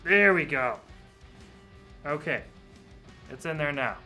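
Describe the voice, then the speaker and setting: Higher-pitched man, grumbling reviewer, music behind. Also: lively intonation, slow. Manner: mildly grumbling, candid. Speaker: toy reviewer. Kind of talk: casual unboxing and review talk.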